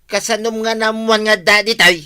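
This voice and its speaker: A man's voice: high voice, steady tone, moderate pace, sharp tone, smooth. A man acting a mischievous, evasive married villager who wheedles his way along in an Ilocano radio comedy drama. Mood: alarmed.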